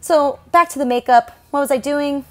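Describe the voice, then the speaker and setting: A woman's voice: sits high, normal speed, a bit bright. A young woman talks loosely and chattily as she narrates her own stage makeup and hair routine. Her delivery is casual and self-deprecating, broken by frequent small laughs. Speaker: stage performer and makeup vlogger. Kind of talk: casual tutorial voice-over.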